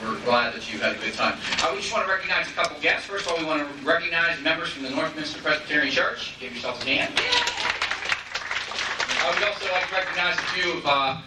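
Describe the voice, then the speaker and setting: A man's voice: a little high, fast talker. A man giving an informal, chatty curtain speech from a stage, thanking guests and helpers by name. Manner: chatty, addressing individuals in the audience. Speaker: station manager announcer thanking audience. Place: theater stage, recorded from the audience. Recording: distant echoey theater tape, loud audience noise.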